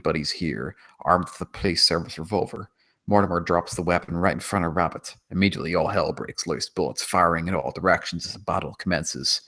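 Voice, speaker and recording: A man's voice: low voice, fairly bright tone. A man reading scene descriptions as the narrator of a screenplay table read. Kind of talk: scripted narration of stage directions.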